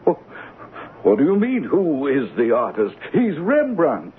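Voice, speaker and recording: A man's voice: on the high side, huge pitch swings, unhurried. An actor playing an anxious small-time male con artist who affects refinement, stammering and pleading in a radio drama. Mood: flustered, defensive. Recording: muffled old radio broadcast, music.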